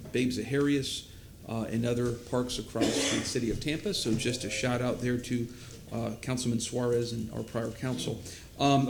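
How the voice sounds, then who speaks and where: Man, council chairman, procedural tone, echoey chamber, background noise. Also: mid-range voice. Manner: procedural, halting with fillers and restarts. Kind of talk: chairing a city council meeting.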